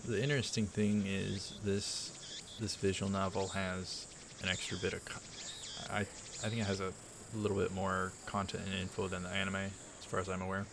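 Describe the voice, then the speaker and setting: A man hosting a let's play, reading a visual novel aloud with casual asides in a halting, measured way. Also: deep voice, little pitch movement, noisy background.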